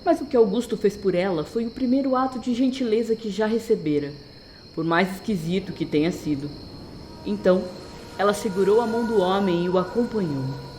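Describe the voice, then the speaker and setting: A woman's voice: medium pitch, a little fast, darkish tone, a little hiss or hum, rough recording. Woman storyteller over night crickets. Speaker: narrator. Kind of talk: scripted storytelling narration of an audio drama.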